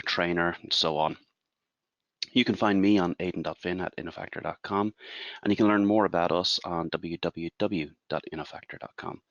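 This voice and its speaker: An Irish man in his forties presents a webinar as a consultant, explaining in a measured way and asking rhetorical questions. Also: low voice, fairly bright tone, no room sound, muffled recording. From Ireland.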